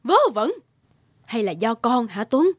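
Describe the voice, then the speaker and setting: An actress playing a scornful middle-aged mother-in-law in a cartoon, sharp-tongued and nagging. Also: on the high side, very animated, slow, full character voice. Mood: scornful, complaining. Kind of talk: animated cartoon voice acting.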